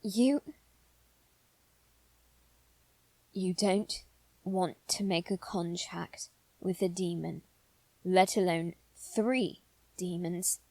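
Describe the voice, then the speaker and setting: A woman voicing an anxious aristocratic boy in a scripted audio roleplay, speaking formally and haltingly with dramatic pauses. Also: on the low side, steady tone, no echo, low-quality recording. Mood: dismayed. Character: anxious aristocratic boy lord bound to demons. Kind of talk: scripted ASMR roleplay addressed to the listener.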